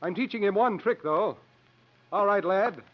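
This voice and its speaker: A man's voice: high voice, a little slow. An actor playing a shrewd, burly travelling strongman of thirty, folksy and wry, in 1950s radio drama style. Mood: mock-showy. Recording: dull, noisy old 1950s radio recording.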